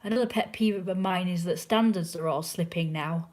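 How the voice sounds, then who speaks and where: A young English woman with a slightly low voice that is roughened by a sore throat. She chats casually to a viewer from home, airing strong opinions and slipping into quiet, muttered asides. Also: normal speed. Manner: opinionated, chatty, drifts into hushed asides. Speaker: livestreamer chatting with a viewer. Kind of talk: casual rambling chat. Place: indoors at home, curled in a chair.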